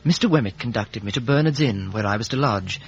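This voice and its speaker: An actor playing an earnest, polite young gentleman in a 1950s radio drama, narrating and speaking in measured, literary fashion on a muffled old recording. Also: medium pitch, expressive, over music, lightly acted. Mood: measured, reflective.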